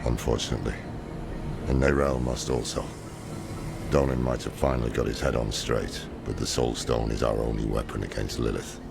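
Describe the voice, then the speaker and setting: An actor playing a weary, stern old scholar in a dark fantasy game, grave and terse, with a gravelly voice. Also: male voice, fairly even intonation, fairly quick, background noise. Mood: grim, resolute. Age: older. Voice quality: deep, gravelly.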